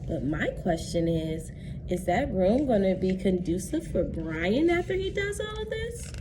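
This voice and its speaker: A woman teacher doing a read-aloud for young children, expressive and instructive, putting questions to her listeners. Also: mid-range voice, a little slow. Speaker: teacher reading a picture book to children. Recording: clearly noisy background.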